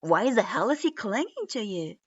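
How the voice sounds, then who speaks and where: A woman voicing a hot-headed, excitable young male criminal who yells his lines in a raised pitch. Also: normal speed, slightly rough, no echo. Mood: yelling. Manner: yelling, excitable. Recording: dull lo-fi recording.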